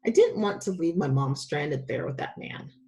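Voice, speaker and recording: A woman's voice: low voice, animated, moderate pace, gravelly, dark tone. A woman teacher reading a children's story aloud with expression.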